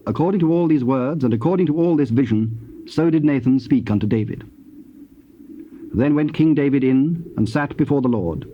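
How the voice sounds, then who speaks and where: A man with a British accent narrating scripture in a formal, measured, scripted style. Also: mid-range voice. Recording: muffled tape, faint background noise.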